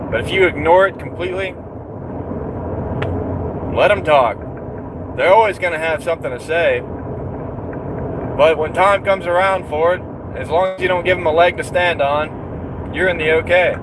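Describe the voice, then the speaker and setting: A man's voice: fairly high pitch, normal speed, long pauses. A man from Florida, casually chatting with his viewers while driving, answering their questions in a rambling, easygoing way. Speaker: truck driver live-streaming to viewers. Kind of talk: casual live-stream chat while driving. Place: inside a vehicle on the road. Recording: noisy road sound from a vehicle.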